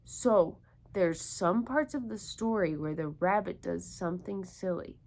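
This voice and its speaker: A woman's voice: lowish voice, lively intonation, a little slow, darkish tone. A woman doing an interactive storytime for young children, encouraging them with repeated questions. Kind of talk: interactive picture-book read-aloud for young children.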